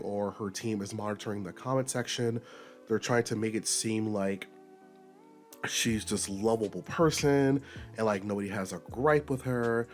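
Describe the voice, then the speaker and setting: A man with a low-pitched voice, slightly hoarse and nasal from being sick, rants about an online controversy on his own channel. He talks emphatically and keeps leaping up into high, excited bursts. Soft guitar music plays faintly under his voice. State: raspy, slightly nasal from a recent illness. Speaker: commentary YouTuber host. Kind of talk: unscripted rant commentary.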